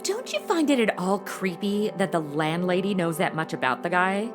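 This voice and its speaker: A woman's voice: lowish voice, sing-song, moderate pace, over music. A young woman playing a sassy, impatient modern twenty-something in a comedic audio drama, with a sarcastic, snarky delivery. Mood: complaining. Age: young adult. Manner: sarcastic, snarky, exaggerated reactions.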